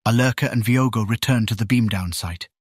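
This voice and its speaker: A male narrator with an average-pitched voice reads scripted scene descriptions for an audio drama. The recording is dry, with very little room sound. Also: brisk, no room sound.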